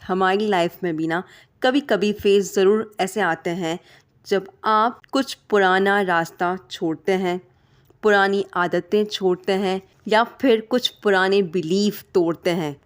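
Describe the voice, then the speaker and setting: A woman hosting a vlog, giving an earnest motivational voice-over that speaks straight to listeners, her voice heavy and hoarse from a sore throat. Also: medium pitch, fairly even intonation, unhurried. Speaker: vlog host.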